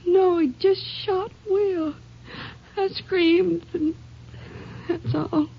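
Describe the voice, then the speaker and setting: An actress playing a weak, bitter wounded aunt in a 1950s radio drama, speaking in halting, tearful fragments. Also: female voice, very high voice, fairly even intonation, vocal fry, heavily acted. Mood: tearful, insistent. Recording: dull vintage 1950s radio recording.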